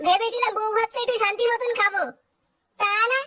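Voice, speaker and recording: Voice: steady tone, fast talker. পিচ বাড়ানো গলায় একজন অভিনয়শিল্পী কার্টুন কমেডির এক অলস, ঘ্যানঘেনে, গালিবাজ তরুণ ছেলের চরিত্র করছে।. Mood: weary grumbling. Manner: brash, grumbling, crude slangy swearing. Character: whiny foul-mouthed slacker young son, reluctant bridegroom. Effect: pitched-up cartoon voice. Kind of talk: exaggerated cartoon comedy dialogue. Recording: distant sound under loud music.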